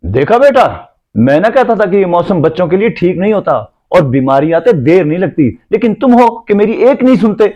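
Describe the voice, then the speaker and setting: A man playing a caring, cautious old grandfather who gently admonishes his family in a scripted moral drama. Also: high-pitched, big pitch swings. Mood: chiding, forgiving. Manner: admonishing, fatherly.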